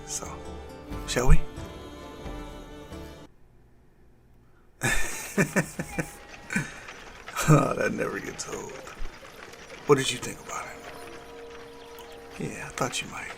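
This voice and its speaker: A man playing a suave, cunning assassin in love, speaking softly and formally in a romantic audio roleplay with a gravelly creak. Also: mid-range voice, animated, slow pace, pauses often, over music. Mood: teasing, courting. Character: lovestruck male assassin, suave, cunning, tenderly courting a noble. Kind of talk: romantic audio roleplay acting addressed to the listener.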